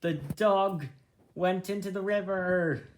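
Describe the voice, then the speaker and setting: A story reader narrating a storybook in an animated, deliberate way. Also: medium pitch, slight background noise. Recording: roomy echo.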